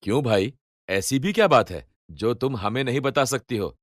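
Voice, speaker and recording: Man acting an animated, questioning cartoon husband. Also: medium pitch, moderate pace, no echo, clean studio sound, natural voice. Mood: mildly indignant. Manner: questioning, insistent. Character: inquisitive, slightly indignant father and husband. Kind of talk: animated Hindi storybook acting.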